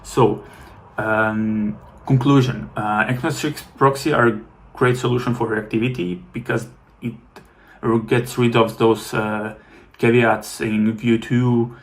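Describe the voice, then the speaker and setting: A man wrapping up a technical talk at an online conference, pausing often with filler words. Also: medium pitch, flat delivery. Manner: halting, many uh fillers. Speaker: conference speaker.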